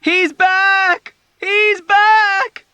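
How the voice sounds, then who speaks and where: A man voicing a frantic young soldier patient who screams in panic, his voice pushed very high. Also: level tone, extremely slow, sharp tone, no room sound, full character voice.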